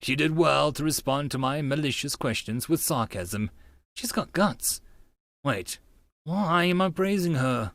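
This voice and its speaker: Man playing a proud, skeptical young man, animated. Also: a little high, a little slow, no room sound. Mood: grudging, mortified. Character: proud, skeptical, easily flustered young man, inner monologue.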